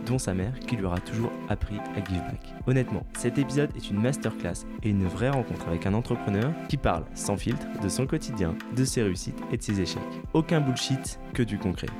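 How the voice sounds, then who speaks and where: Podcast host, man, fast and eager, lowish voice, clear music in the background. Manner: brisk and enthusiastic. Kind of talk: scripted intro, then interview questions.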